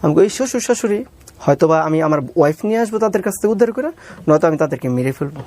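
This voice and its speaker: A man's voice: a little high, expressive, rapid-fire, darkish tone, no echo. A man with a Bangladeshi accent telling his own story as an interview guest, deferential and re-telling conversations as he goes. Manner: deferential, calls the interviewer sir. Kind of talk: personal storytelling interview with reenacted dialogue.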